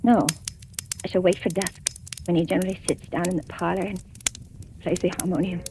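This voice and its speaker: A woman's voice: on the low side, flat delivery, a little fast, lightly acted. An actress playing a timid, frightened, genteel woman in an old-time radio drama, speaking in a hesitant, pleading manner. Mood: fearful resolve. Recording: noisy vintage radio recording.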